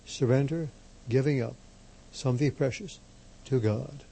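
Slow, gravelly-voiced priest preaching. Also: male voice, medium pitch, mellow, low-quality recording. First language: Slovak. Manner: emphatic, measured, repeats key phrases. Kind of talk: slow deliberate sermon.

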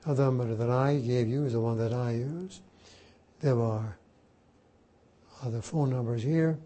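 A man's voice: medium pitch, steady tone, unhurried, warm tone. An older man, a Jesuit priest whose first language was Slovak, teaching a class informally and spelling words out letter by letter. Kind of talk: informal lecture and Q&A.

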